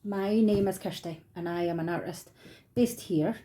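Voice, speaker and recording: A woman's voice: fairly low pitch, moderate pace, mellow. A middle-aged woman with a Scottish accent, chatting informally and encouragingly as she teaches an online craft workshop from her dining room. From Scotland. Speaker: art workshop tutor.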